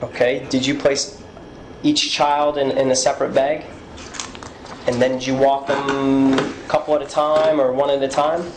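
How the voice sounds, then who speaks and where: A man's voice: mid-range voice, little pitch movement, normal speed. A man working as a police interrogator, questioning someone in a probing way and repeating answers back in a recorded interview. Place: indoor police interview room. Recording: echoey room with background noise.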